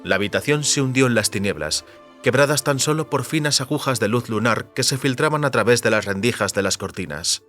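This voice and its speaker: A man's voice: mid-range voice, a little fast, a bit bright. Man narrating a fantasy audiobook over music. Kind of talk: scripted literary narration.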